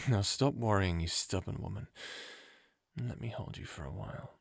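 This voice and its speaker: An actor playing a tender, teasing man saying goodbye, speaking softly with wry humor in a husky voice. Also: lowish voice, normal speed, no echo, studio quality. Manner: soft and gentle, with wry humor. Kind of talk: full-cast audiobook acting.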